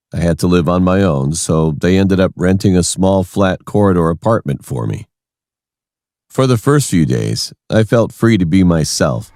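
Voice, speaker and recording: A man's voice: low-pitched, a little mellow, no echo, clean studio sound. A man reading a scripted first-person horror story as its narrator.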